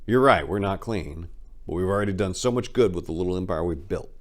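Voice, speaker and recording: A man's voice: low voice, brisk, natural voice. A man voicing a determined, idealistic younger crime fighter who makes emphatic, rousing speeches in a dramatic story reading. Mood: resolute.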